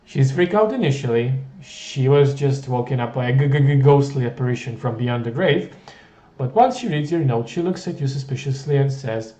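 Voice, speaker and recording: A man's voice: mid-range voice, animated, normal speed, warm tone, reverberant. A man reading a text adventure game aloud, adding wry, jokey asides in a playful way.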